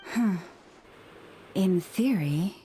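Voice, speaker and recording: A mellow-voiced, thoughtful woman reasons calmly at a very unhurried pace. There is faint noise behind the voice. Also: mid-range voice. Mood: pensive. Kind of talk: video game voice acting.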